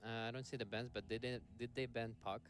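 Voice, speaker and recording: A man's voice: mid-range voice, steady tone, fast talker, a crowd in the background. A man casting an esports match who gives blunt opinions, often hesitating and restarting his sentences. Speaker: esports caster. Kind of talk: match commentary. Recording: broadcast feed with clear music. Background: music.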